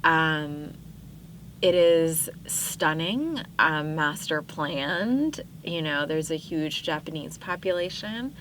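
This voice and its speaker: A woman's voice: low-pitched, lively intonation, slow pace, fairly bright tone, slight background noise, rough recording. A young woman born in Pakistan, a guest on a podcast, talking casually and reflectively with many fillers and frequent laughs. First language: Urdu. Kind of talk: casual interview chat.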